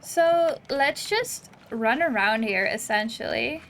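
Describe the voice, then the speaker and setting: A woman's voice: a little high, animated, slow pace, gravelly, fairly bright tone, a little hiss or hum. A woman giving casual, chatty let's-play commentary on a game, playful and given to exclaiming. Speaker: gaming video host.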